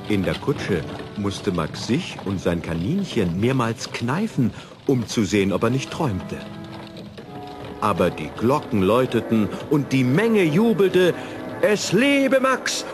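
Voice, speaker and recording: Male storyteller, lowish pitch, animated intonation, theatrical children's narration. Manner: expressive, theatrical reading.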